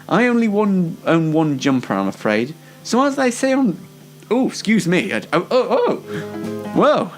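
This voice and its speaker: A man presents a variety show over music, with a chatty and mock-dramatic delivery. His pitch swings widely, and he takes his time, drawing things out for effect. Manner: playfully theatrical, mock-dramatic. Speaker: host of an online variety show. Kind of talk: chatty scripted-style presenting with ad-libs.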